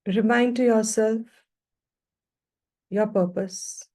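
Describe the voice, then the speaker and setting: A woman teaching guided meditation, giving slow, calm instructions with long, measured pauses. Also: a little high.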